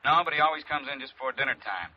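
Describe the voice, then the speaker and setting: A man's voice: mid-range voice, animated, rapid-fire, natural voice. Guy acting as grumbly blunt american cop, mid voice, exasperated, old timey radio drama w dull sound. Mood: wry, colloquial. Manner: blunt, colloquial, mildly exasperated.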